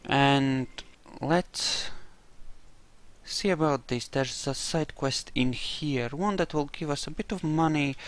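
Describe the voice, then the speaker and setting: A man's voice: fairly high pitch, slow pace. A man narrating a video game playthrough casually, with laid-back, dry humor and casual swearing. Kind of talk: casual let's play commentary, in-character roleplay.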